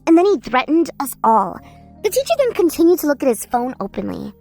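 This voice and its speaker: A high, lively schoolgirl narrator. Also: brisk, soft background music. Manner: lively, exclaiming, sarcastic. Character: exasperated schoolgirl narrating her class's story. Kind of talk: manga dub narration.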